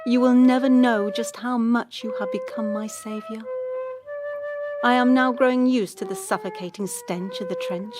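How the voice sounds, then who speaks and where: A woman's voice: fairly high pitch, fairly even intonation, moderate pace, close to an everyday voice. A woman acting a loving, wistful wife reading her soldier husband's letter aloud in a radio drama. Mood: tender, wistful. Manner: tender, wistful. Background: flute music.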